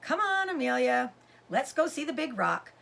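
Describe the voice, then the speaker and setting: A woman's voice: sits high, very animated, moderate pace, vocal fry, fairly bright tone, exaggerated voice. A woman voicing an eager, adventurous young pioneer boy in a storybook reading. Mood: eager, upbeat.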